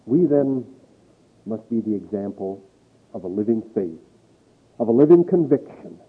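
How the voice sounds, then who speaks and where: Husky preacher on a tinny old recording. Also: male voice, on the low side, expressive, normal speed. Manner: emphatic preaching with rising and falling intensity, reading scripture aloud. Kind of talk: sermon.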